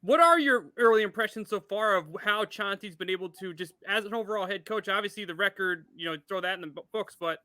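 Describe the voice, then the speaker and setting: High, very bright-voiced male interviewer. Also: brisk, few pauses, dry recording. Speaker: sports show host, interviewer. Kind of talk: conversational interviewing.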